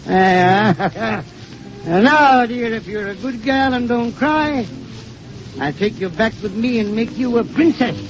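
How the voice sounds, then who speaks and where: An actor playing a jolly, boastful European grand duke in 1930s radio musical comedy. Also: male voice, high voice, lively intonation, takes it slowly. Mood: genial, avuncular. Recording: dull 1932 radio recording, loud music.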